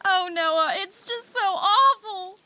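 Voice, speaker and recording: A woman's voice: very high-pitched, takes it slowly, bright, forceful tone, heavily acted. A woman playing a timid, frightened young woman in an audio drama, hesitant, fretful and stammering. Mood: tearful.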